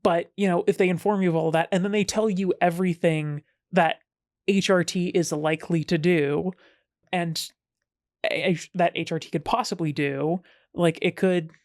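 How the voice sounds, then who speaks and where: A woman's voice: fairly even intonation, moderate pace, a little mellow, no room sound. Chatty woman with a dry close sound. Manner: chatty and self-deprecating, with sudden playful jumps in pitch. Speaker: guest. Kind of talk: casual podcast conversation.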